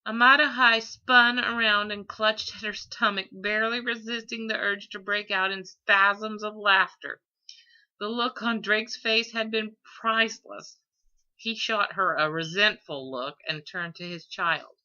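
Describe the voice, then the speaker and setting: Woman narrating a story, really slow n expressive, sharp bright voice, kinda dull lofi audio. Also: mid-range voice. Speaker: audiobook reader.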